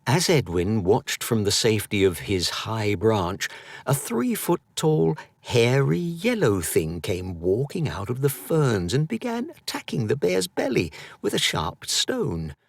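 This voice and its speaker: A man narrating a children's story expressively, with dramatic, drawn-out emphasis. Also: mid-range voice, huge pitch swings, gravelly, no echo, studio quality. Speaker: audiobook narrator.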